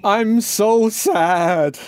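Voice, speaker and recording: Man doing a fussy, high-strung aggrieved caretaker. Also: animated, normal speed, darkish tone, soft background music, natural voice. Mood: howling misery. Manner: pedantic, exasperated. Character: fussy, aggrieved male caretaker, easily wound up.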